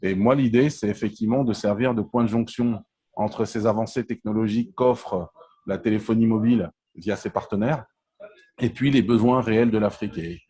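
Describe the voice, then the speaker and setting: A man's voice: fairly low pitch, fairly even intonation, moderate pace, warm tone, breathy edge, no echo. A man from Congo in his forties, answering interview questions in a hesitant way, with frequent fillers and restarts. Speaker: interview guest, telecom entrepreneur.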